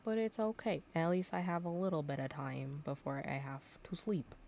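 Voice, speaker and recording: Low-voiced woman streamer with a worn voice. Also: expressive, moderate pace, a little mellow, a little hiss or hum. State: slightly worn, tired voice. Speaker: game livestreamer, host. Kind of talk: casual livestream chat.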